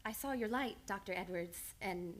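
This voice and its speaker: A woman's voice: mid-range voice, moderate pace, lightly acted. An actress playing an earnest, reserved woman psychiatrist in an old-time radio drama, speaking formally and precisely. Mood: nervous, confessing. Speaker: radio play actor. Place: radio studio.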